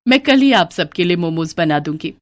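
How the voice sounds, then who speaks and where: A woman's voice: on the low side, animated, moderate pace, no room sound, lightly acted. A woman voicing a humble, hopeful young maid who speaks respectfully. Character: hardworking cheerful young maid.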